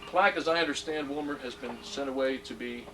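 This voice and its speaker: A man's voice: mid-range voice, fast. Genial middle-aged male ceremony presenter. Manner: genial, joking asides, reads aloud from a plaque. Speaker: presenter at a hall of fame induction. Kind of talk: ceremony speech. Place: ceremony hall. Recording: distant mic, noisy room.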